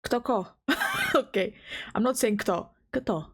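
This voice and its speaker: A woman's voice: fairly high pitch, slow, slightly rough. A young woman from the Balkans with a slight Albanian accent, a VTuber scolding herself between recording takes, with a blocked nose. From Balkans, Southeastern Europe. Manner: frazzled, scolds herself, giggly, restarts lines. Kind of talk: casual self-talk between recording takes. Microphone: home recording mic.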